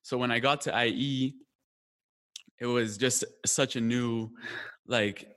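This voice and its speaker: A man's voice: medium pitch, fairly even intonation, takes it slowly, a bit bright. A young man from Colombia, a guest in a casual conversation, reflecting openly, often restarting his sentences. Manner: frequent restarts and fillers, laughs at himself.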